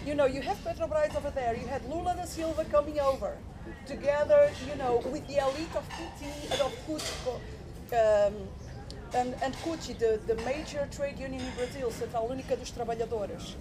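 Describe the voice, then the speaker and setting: A woman's voice: high-pitched, a little fast, over music. A woman from Portugal speaking up from the audience at a public forum, asking an earnest, academic question with many fillers and restarts.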